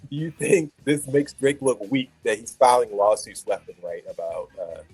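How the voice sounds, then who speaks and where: A man's voice: mid-range voice, animated, moderate pace, darkish tone, husky, dry recording, faint music behind, poor audio. A man hosting an opinionated panel debate, blunt and needling in the way he talks. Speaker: host of a hip-hop debate show.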